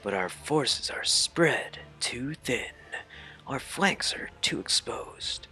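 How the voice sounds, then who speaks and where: A man's voice: mid-range voice, lively intonation, takes it slowly, pushed and bright, pitched above the performer's own voice, exaggerated voice. A man voicing a fretful, panicked soldier in a video game read-along. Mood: worried. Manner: anxious, alarmed. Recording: game music behind.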